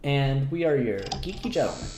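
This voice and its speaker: A man co-hosting a podcast, chatting casually about a review in a halting, hedging way. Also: medium pitch, very animated, darkish tone. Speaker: podcast co-host. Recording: roomy echo, noticeable background noise.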